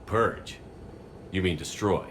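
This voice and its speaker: Low-voiced, resolute military commander. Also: male voice, takes it slowly, noisy background. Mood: serious, probing. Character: resolute human military commander, serious and questioning. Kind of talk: video game dramatic acting. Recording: game score playing behind.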